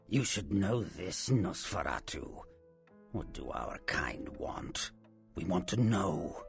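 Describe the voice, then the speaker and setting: A man's voice: low voice, heavily acted. A man playing a sly, taunting Nosferatu vampire and faded film star in a video game, theatrical and teasing, often dropping into a menacing whisper. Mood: menacing, probing. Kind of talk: video game character acting.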